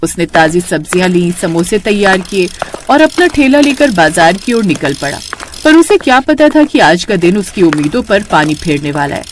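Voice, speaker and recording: A woman's voice: lowish voice, big pitch swings, normal speed, a little hiss or hum. A woman narrating a scripted cartoon moral story as a storyteller.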